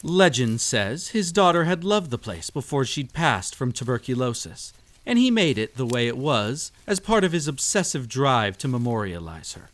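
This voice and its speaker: A man playing a mysterious, teasing host of a haunted estate in an immersive roleplay audio, telling tales to the listener with dramatic pauses. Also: medium pitch, big pitch swings, a bit bright. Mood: storytelling. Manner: teasing, long-winded storyteller, slow dramatic pauses.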